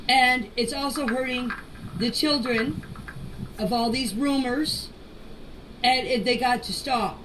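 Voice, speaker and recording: Distant, high-pitched woman speaking slowly. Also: a bit bright. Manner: deliberate, halting delivery in short phrases. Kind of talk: informal spoken voice message statement. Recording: distant noisy amateur voice clips.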